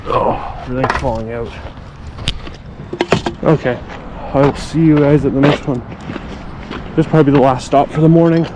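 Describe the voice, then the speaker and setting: A man with a sniffly, runny nose chatting casually and plainly to viewers as he vlogs outdoors on his collecting round. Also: medium pitch. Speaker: vlogger collecting bottles and scrap. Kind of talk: casual outdoor vlog chat. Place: outdoors on residential streets. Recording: clear outdoor street and vehicle noise.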